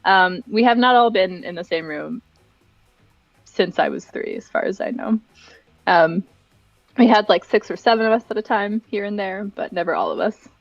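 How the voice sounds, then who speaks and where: A woman's voice: medium pitch, normal speed, dry recording, lo-fi sound, soft background music. A young adult woman chatting casually and giggly as a livestream guest, rambling through personal stories. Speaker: livestream guest, event director and dancer.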